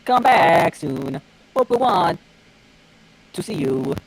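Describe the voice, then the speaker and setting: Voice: mid-range voice, sing-song, takes it slowly, vocal fry, breathy edge, dry recording, rough recording. A person casually reading story dialogue aloud among friends.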